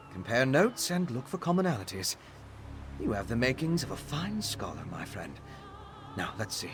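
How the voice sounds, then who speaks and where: A man acts out an eager, bookish scholar for a fantasy video game. He speaks thoughtfully and articulately at a middling male pitch, with music clearly playing behind him. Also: natural voice. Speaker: game character. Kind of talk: scripted video game dialogue.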